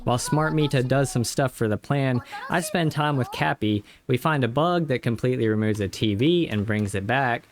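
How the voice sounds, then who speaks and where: A man's voice: mid-range voice, normal speed, hardly any pauses. A man narrating a casual scripted recap of a video game, with tongue-in-cheek exaggeration.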